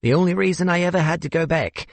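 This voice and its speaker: A man voicing a courteous, wistful older gentleman with a South African accent and a theatrical, hesitant manner in an audiobook. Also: a little high, fast, gravelly, no room sound, pitched above the performer's own voice. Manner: theatrical, hesitant, old-fashioned politeness.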